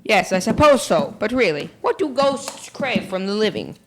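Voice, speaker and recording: A woman's voice: mid-range voice, very animated, moderate pace, noisy background, lightly acted. A female actor playing a nervous, vain, bookish schoolmaster in a school radio play, speaking in florid old-fashioned phrases. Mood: polite, uneasy.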